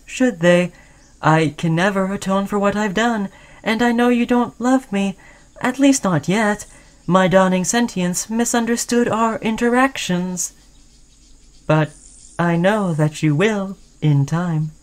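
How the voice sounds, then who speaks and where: A woman playing an obsessed, caring yet menacing sentient robot in an intimate roleplay audio drama, speaking in an earnest, halting way. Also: medium pitch, a little mellow, lightly acted. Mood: apologetic, insistent.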